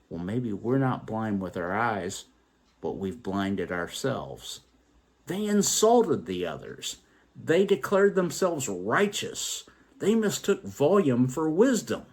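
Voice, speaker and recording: A man telling a story and reflecting on its moral as host, in a theatrical, emphatic, deliberate way. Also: fairly low pitch. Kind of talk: dramatic poetry recital and moral reflection.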